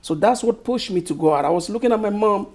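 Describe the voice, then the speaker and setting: A middle-aged man from Cameroon with a Cameroonian accent, answering interview questions slowly and thoughtfully, often searching for words. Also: high-pitched, animated, darkish tone. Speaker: author guest.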